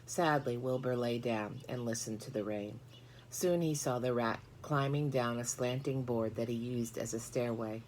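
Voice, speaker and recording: A woman's voice: extremely low pitch, normal speed, a little mellow, a little hiss or hum, low-quality recording. A woman narrating a children's story from a book as a storybook reader.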